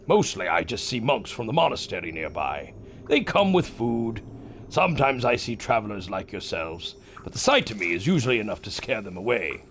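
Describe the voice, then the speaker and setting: An actor playing a lonely yeti gatekeeper of a mountain gateway, with a theatrical, solemn manner in an audio drama. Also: male voice, on the low side, big pitch swings, normal speed, a bit bright, a little hiss or hum. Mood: solemn, haunted.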